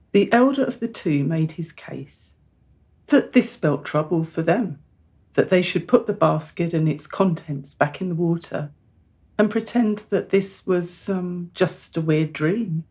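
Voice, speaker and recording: Woman acting mum in a radio play, deep husky voice, earnest n exasperated, middle-aged. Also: unhurried, darkish tone. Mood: solemn storytelling. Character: earnest, exasperated middle-aged mother confessing a family secret.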